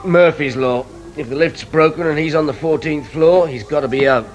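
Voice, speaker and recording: A man's voice: on the high side, moderate pace, close to an everyday voice, poor audio. An actor playing a dour, dry-witted police inspector who narrates his case in a radio crime drama. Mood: wry, weary.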